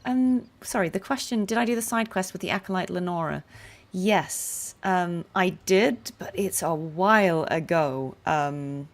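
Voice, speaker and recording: A woman's voice: fairly low pitch, a little slow. A woman live streaming a game, chatting casually in a musing, appreciative way.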